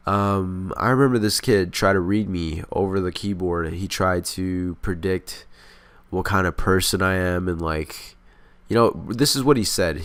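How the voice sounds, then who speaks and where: A man's voice: deep voice, a bit bright, clean tone, no echo. A congested, sick man talks in casual, rambling, profane gaming commentary, speaking inches from the microphone. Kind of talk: casual commentary over gameplay. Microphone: close mic, inches away.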